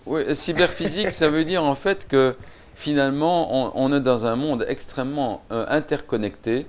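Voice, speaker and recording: A man's voice: medium pitch, moderate pace. A man from Belgium being interviewed as a guest expert, thoughtful and hesitant with many fillers.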